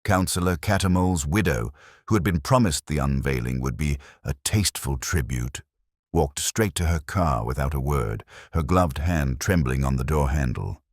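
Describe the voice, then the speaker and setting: A very deep-voiced man narrates a mystery story. His intonation moves widely while the telling stays measured and literary, with wry understatement. The sound is close and dry. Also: lively intonation, no room sound.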